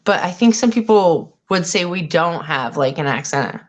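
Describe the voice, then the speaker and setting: A woman's voice: fairly low pitch, lively intonation, moderate pace, muffled recording, a crowd in the background. A woman hosting a casual live chat, rambling and trailing off as she talks, from Colorado. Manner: chatty, rambling, trails off mid-sentence. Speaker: live stream host.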